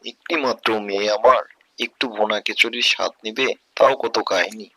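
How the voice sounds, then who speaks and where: A man voicing a poor, caring village father in a cartoon story, speaking in an earnest, deliberate way. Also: on the low side, fairly even intonation, crowd noise, close to an everyday voice. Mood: hurried. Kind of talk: Bengali cartoon story dubbing.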